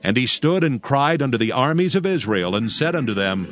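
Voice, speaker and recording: A man narrating scripture from a script in a solemn, measured storytelling style. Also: mid-range voice, sing-song. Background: faint background music.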